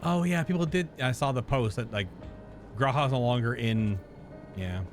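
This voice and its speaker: A man's voice: medium pitch, normal speed, soft background music. A man giving casual, informal reaction commentary as a game streamer, joking and swearing freely.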